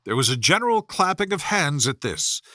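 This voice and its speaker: A male audiobook narrator reads a children's bedtime story from a script. His voice sits fairly high and is very bright. The intonation is animated, and the sound is dry and close. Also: moderate pace, no room sound.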